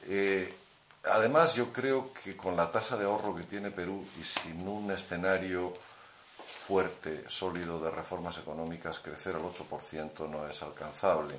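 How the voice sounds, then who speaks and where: Deep-voiced guy interviewing, chatty and probing, keeps checking you agree, echoey room. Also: steady tone, normal speed, a bit bright. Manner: conversational, probing, seeks agreement. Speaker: interviewer, host. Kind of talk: interview questions. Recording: echoey room, faint hiss.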